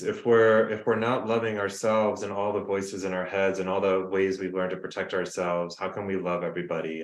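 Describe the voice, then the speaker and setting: Jersey guy, fairly low voice, animated and earnest, echoey room. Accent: New Jersey. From New Jersey. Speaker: guest, coach. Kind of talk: casual podcast conversation.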